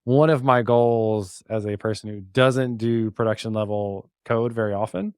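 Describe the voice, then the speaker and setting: A man's voice: lowish voice, fairly even intonation, normal speed, darkish tone, clean tone, no room sound, studio quality. A man chatting casually as a podcast guest, with a joking, teasing manner. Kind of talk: casual chat.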